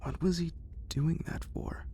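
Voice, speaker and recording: A man narrating a horror story in a breathy, whispered ASMR style with dramatic delivery. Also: fairly high pitch, steady tone, measured pace, warm tone.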